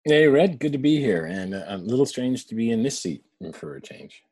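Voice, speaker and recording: Husky-voiced man in his forties. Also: mid-range voice, big pitch swings, normal speed, mellow, no echo. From born in Canada, raised abroad. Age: 40s. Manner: chatty, laughing anecdotes. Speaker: guest. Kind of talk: casual storytelling interview.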